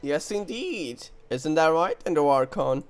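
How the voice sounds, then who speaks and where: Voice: mid-range voice, animated, unhurried. A game streamer giving casual reaction commentary over gameplay, with game music behind. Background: faint game music.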